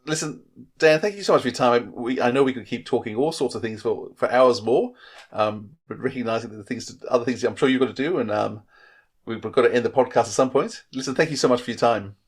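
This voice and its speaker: A man's voice: mid-range voice, quick pace, slightly rough. Enthusiastic male podcast co-host. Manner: enthusiastic, rambling. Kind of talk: casual podcast chat.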